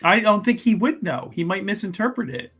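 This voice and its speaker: A man's voice: high-pitched, animated, a little fast, fairly bright tone, husky. A man playing a tabletop roleplaying game, talking casually in character and repeating words as he playfully argues. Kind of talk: casual in-character improvised banter.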